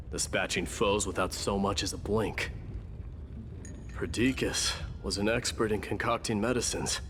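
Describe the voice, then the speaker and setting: Stoic warrior prince from a game, really deep and slow, solemn and mournful. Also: male voice, noisy background. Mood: solemn, nostalgic. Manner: solemn, measured, reflective. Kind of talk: dramatic scripted game narration.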